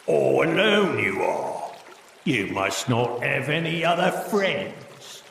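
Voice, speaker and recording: A gravelly gloating troll. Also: male voice, mid-range voice, big pitch swings, fairly bright tone, roomy sound, big-room sound, a little hiss or hum, full character voice. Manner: gloating, menacing, drawn-out growls. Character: mean, hungry male troll guarding a bridge.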